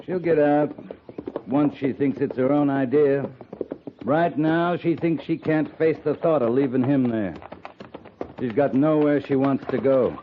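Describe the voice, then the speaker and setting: A man's voice: mid-range voice, measured pace, natural voice. A man playing a dry, commanding cavalry captain in a 1950s Western radio drama, talking in a laconic, wry way. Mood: grim, reflective. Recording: muffled, noisy 1950s radio recording.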